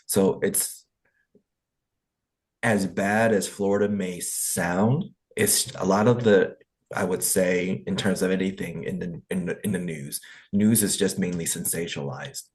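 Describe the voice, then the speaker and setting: Man hosting an online English meeting, a very low voice, easygoing, encouraging, full of ums. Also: steady tone, measured pace, a little mellow, low-quality recording. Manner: encouraging, rambling, many fillers. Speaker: English conversation meeting host. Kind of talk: casual feedback in an online meeting.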